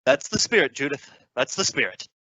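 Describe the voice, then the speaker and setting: An actor as a hearty, flustered middle-aged father in a radio suspense drama. His pitch swoops about as he repeats himself eagerly. The sound is dry and slightly dull. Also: sing-song, fairly quick, bright, forceful tone, no room sound. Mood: awkward gratitude. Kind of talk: old-time radio suspense acting.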